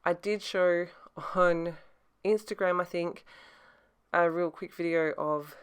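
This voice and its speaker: Soft-spoken woman with a scratchy voice. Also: on the low side, steady tone, slow pace, clean tone. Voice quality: soft-spoken, hesitant with many fillers. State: scratchy, throat-clearing voice. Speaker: scrapbooking crafter. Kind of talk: casual craft process voice-over.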